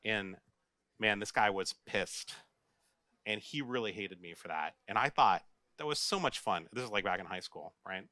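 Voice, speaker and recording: An American man, a software developer, giving a casual, joking conference talk and putting questions to his audience. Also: fairly low pitch, animated, normal speed, no echo. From United States. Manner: chatty, jokey, asks the audience questions. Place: office meeting room, live audience.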